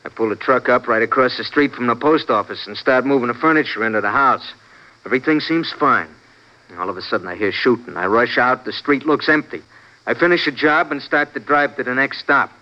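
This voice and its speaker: A man's voice: mid-range voice, fairly quick, lightly acted. A man acting an edgy, cornered young hoodlum with curt street slang in a 1950s radio crime drama. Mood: anxious, confessing. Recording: dull vintage radio broadcast recording.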